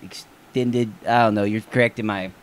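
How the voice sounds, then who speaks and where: A man's voice: lowish voice, fairly even intonation, normal speed, dry recording. A young man co-hosting a casual sports podcast, rambling and joking, laughing often. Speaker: podcast co-host.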